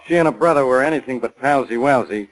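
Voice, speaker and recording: An actor playing a gruff, matter-of-fact police inspector with an American accent in 1940s radio drama style. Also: male voice, medium pitch, fast talker, natural voice, rough recording. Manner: blunt, slangy. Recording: remastered 1940s radio recording.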